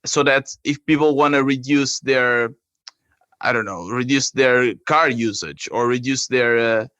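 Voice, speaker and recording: A man from Portugal with a Portuguese accent, explaining his work haltingly as a guest in a conversational interview. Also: medium pitch, fairly bright tone, clear, resonant tone, no echo. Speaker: app creator, podcast guest.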